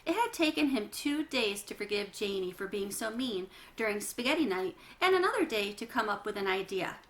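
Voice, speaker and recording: A woman's voice: medium pitch, animated, fairly quick, a bit bright. A woman reading a children's book aloud, narrating with chatty asides to young listeners. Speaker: children's read-aloud reader.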